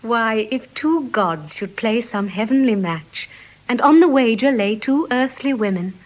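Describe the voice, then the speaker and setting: An actress playing a gentle, quick-witted young wife with poised, earnest diction in classical Shakespearean style. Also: female voice, a little high, animated, low-quality recording. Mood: earnest admiration. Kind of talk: classical Shakespearean stage acting.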